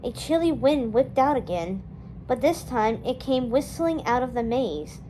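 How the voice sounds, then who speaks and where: Higher-voiced woman reading a story. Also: animated, measured pace, warm tone, a little hiss or hum. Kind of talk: children's novel read-aloud, narration.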